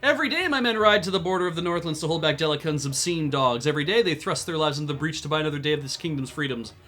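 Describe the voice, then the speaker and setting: A man voicing a proud, furious middle-aged male border baron in a video game, reading his lines in a haughty, loud manner. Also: a little high, expressive, very fast, soft background music.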